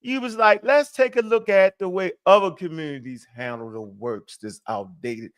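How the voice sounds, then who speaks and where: A man co-hosting a live online talk, speaking in an animated way full of streetwise slang and quick rhetorical asides. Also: high-pitched. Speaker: co-host. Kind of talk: casual live-stream chat.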